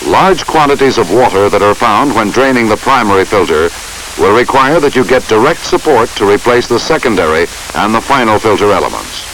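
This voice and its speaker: Male narrator for an instructional film: formal and measured, lively intonation, slightly hissy vintage soundtrack. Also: fairly low pitch, low-quality recording.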